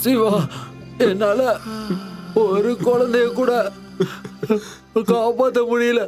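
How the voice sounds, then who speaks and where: Dub role: a dying loyal friend, high, soft and weak, over music. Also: male voice, steady tone, slow pace. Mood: tender. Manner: weak, tender farewell.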